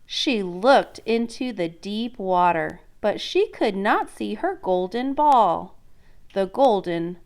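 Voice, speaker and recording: A woman teacher reading a storybook aloud in an expressive, instructional way, prompting listeners with questions. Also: mid-range voice, takes it slowly. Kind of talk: interactive storybook read-aloud lesson.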